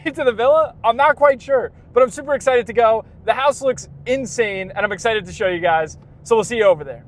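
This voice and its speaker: A man vlogging at a car week, enthusiastic and exclaiming over the cars he spots. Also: very high-pitched. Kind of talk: excited car-spotting vlog.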